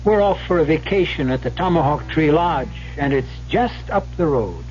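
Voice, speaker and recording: A man's voice: on the high side, animated, moderate pace, a little mellow. A man hosting and narrating a 1970s radio mystery with a sly, theatrical, inviting manner. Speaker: host and narrator of mystery show. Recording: dull old radio broadcast, music behind.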